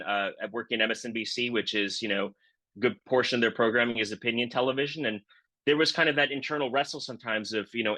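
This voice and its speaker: A man's voice: mid-range voice, sharp tone, no room sound, poor audio. A man talking reflectively and earnestly as a political reporter on a webinar panel.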